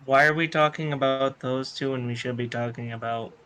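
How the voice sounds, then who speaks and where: Casting: male podcast panelist, sharp forceful tone, little pitch movement, blunt contrarian who swears. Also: fairly even intonation, a little fast, smooth. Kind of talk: casual opinionated chat.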